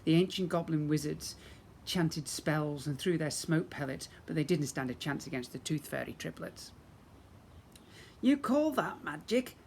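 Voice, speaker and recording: A man reading a children's storybook aloud as a teacher, in an expressive, dramatic storytelling manner. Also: on the high side, normal speed, vocal fry, slight background noise.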